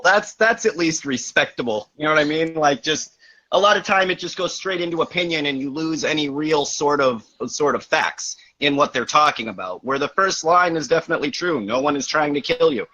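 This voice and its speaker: Bright-voiced casual young man. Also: a little high, animated, fairly quick, lo-fi sound. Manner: casual, filler-heavy, laughing. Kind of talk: casual chat.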